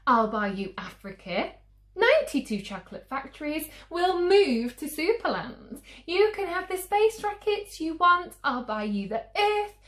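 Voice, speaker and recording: In a roomy echo, a woman plays a storybook mum desperate to win her child over. The voice is high and swoops eagerly as it coaxes and pleads, piling promise upon promise. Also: big pitch swings, unhurried, fairly bright tone, exaggerated voice. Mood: frantic, desperate. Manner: coaxing, gushing through escalating lists.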